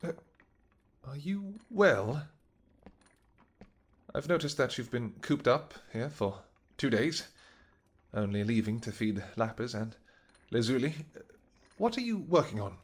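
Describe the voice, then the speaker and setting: A man playing a devoted vampire king in an intimate audio roleplay, speaking earnestly and gently in halting, formal, old-fashioned words. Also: mid-range voice, very animated, husky. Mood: gentle, curious. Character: devoted vampire king, tender, anxious, apologetic husband.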